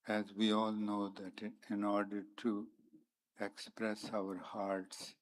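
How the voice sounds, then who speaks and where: A man whose first language is Punjabi, giving a spiritual talk at a conference in a slow, meditative way, with many pauses between phrases. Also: lowish voice, little pitch movement, rough recording. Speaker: conference speaker. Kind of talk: spiritual talk mixed with sung verse. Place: conference hall.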